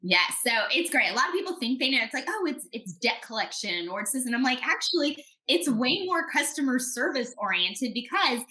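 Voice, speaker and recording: A woman speaks as a guest in a conversational interview, her voice a touch high. She explains things in an animated, confident way, with a bright, forceful tone. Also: fairly quick, few pauses. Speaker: podcast guest.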